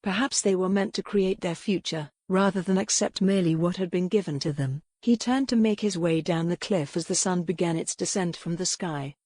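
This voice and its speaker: A woman narrating a dramatic romance audiobook in a measured, solemn storytelling manner. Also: on the low side, darkish tone, no room sound, studio quality.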